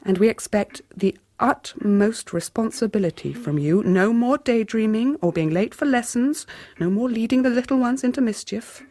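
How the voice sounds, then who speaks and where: A woman's voice: fairly high pitch, lively intonation, mellow, dry recording. Genteel, stern English mother in dry sound. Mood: stern, measured. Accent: English upper-class. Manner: formal, measured, admonishing. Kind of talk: 1990s radio drama acting.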